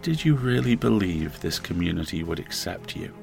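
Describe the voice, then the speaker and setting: An actor playing an ominous, grave narrator in a trailer for a dark audio thriller, speaking deliberately. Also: male voice, low voice, very animated, gravelly, heavily acted. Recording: dramatic music bed behind.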